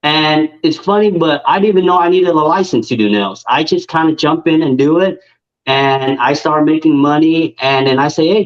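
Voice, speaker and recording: A man in his thirties from Vietnam, a guest telling his life story in a casual interview, growing animated when he quotes himself. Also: fairly high pitch, highs cut off. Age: 30s. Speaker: guest, nail technician and influencer.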